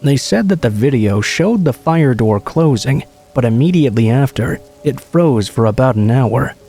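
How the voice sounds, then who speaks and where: A man reading true scary stories aloud as a scripted narration, in a steady, low-key delivery. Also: normal speed, slightly rough, faint music behind. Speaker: horror story narrator.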